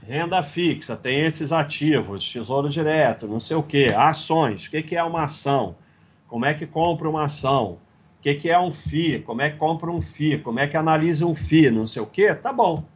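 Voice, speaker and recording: Husky blunt Brazilian man lecturing. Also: on the high side, animated, normal speed. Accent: Brazilian Portuguese. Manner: blunt, sarcastic, opinionated lecturing. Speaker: host of a live investing stream. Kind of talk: casual livestream talk, reading slides.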